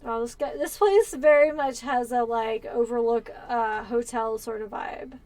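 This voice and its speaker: A woman's voice: on the high side, measured pace, darkish tone, dry recording. A woman with a Californian accent streams a game and chats as she plays. Her voice sits a little above the usual female range. She talks in a loose, rambling way and strings thoughts together with easy asides. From Pennsylvania. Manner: chatty and rambling. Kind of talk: casual gameplay chat.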